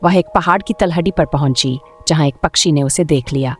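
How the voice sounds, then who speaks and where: Narrator reading a kids story, mid pitch voice, some music behind it. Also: moderate pace, husky. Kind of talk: scripted children's storybook narration.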